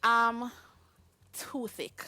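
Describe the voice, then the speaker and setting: A woman's voice: fairly high pitch, flat delivery, drawn-out words, crowd noise. A young Jamaican woman who is a guest on a TV chat, telling her own experience candidly and with animation. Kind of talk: casual chat. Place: TV studio.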